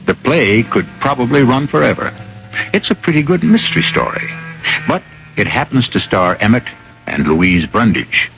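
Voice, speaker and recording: A man narrates an old radio mystery as its host. His low voice moves with lively, theatrical inflection, building suspense deliberately. Music plays clearly behind him on a muffled broadcast. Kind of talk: dramatic scripted radio narration.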